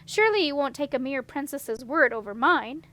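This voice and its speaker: A woman's voice: high voice, animated, moderate pace, a bit bright. A woman voicing a haughty, scheming male dragon who talks in an indignant, dismissive way.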